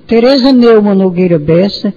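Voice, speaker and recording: A woman's voice: mid-range voice, lively intonation, moderate pace, dark tone, a little hiss or hum. A fifty-year-old Brazilian woman speaking as a guest on a radio show. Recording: dull lo-fi audio.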